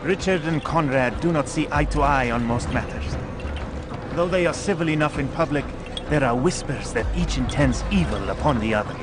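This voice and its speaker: An actor playing a shrewd, courteous informant who briefs in a knowing, articulate, wry manner. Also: male voice, on the high side, animated, moderate pace, music in the background, close to an everyday voice. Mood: wry briefing. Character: shrewd, dryly courteous male informant to assassins.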